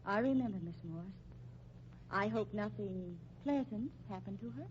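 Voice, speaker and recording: An actress playing a prim, loyal wife in a 1950s radio drama, protesting in a haughty, flustered way. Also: female voice, medium pitch, moderate pace, darkish tone, lightly acted. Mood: jealous, accusing. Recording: muffled, noisy old radio recording.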